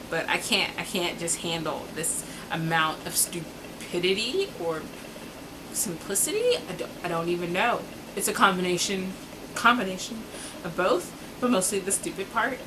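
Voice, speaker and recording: A woman with a fairly low voice talks casually to camera as a book vlogger. Her phrasing is informal and slangy, with exasperated asides and stretched-out words for emphasis. Clear background noise sits behind her.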